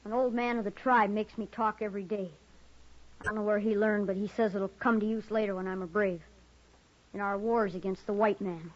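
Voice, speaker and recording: A woman's voice: mid-range voice, steady tone, brisk, natural voice. Wary young boy played by a woman, muffled. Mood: stoic, proud. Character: wary, proud, stoic captive frontier boy. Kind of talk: old time western radio acting. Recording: muffled old radio recording.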